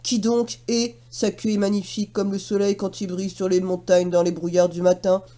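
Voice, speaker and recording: A woman voicing a practical, eager male farm dog in a children's story, loud and emphatic. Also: medium pitch, flat delivery, normal speed, pitched below the performer's own voice, close to an everyday voice. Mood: unimpressed.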